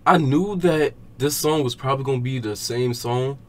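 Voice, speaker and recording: A man's voice: medium pitch, normal speed, a little mellow, a little hiss or hum. A young man hosting a music reaction, talking casually in an exclamatory, repetitive, slangy way. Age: young adult. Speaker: music reaction video host. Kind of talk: casual excited album reaction. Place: small home room with acoustic foam panels. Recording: music playing in the background.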